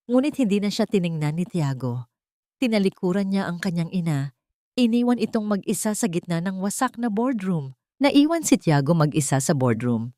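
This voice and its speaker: Lowish-voiced woman narrating dramatic story. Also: big pitch swings, moderate pace, mellow, no room sound, studio quality.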